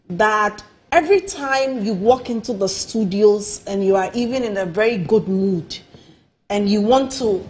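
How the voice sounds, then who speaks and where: A woman who has a cold and sounds nasal, a political guest in a TV studio who talks forcefully and repeats words for emphasis. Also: medium pitch, slight background noise. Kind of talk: studio discussion.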